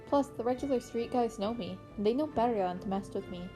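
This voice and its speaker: A woman's voice: fairly high pitch, fairly quick, close to an everyday voice. A woman voicing a fifteen-year-old boy vigilante in an amateur audio story, blunt and offhand, with music underneath. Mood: nonchalant.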